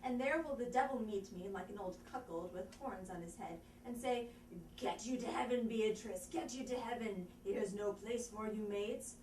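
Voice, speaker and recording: A woman's voice: mid-range voice, moderate pace, a little mellow, close to an everyday voice. Woman as a witty heroine in an echoey room. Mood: witty, defiant. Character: witty, defiant young unmarried woman in Shakespearean comedy. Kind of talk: stage dialogue, scene reading. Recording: roomy, distant, faint noise.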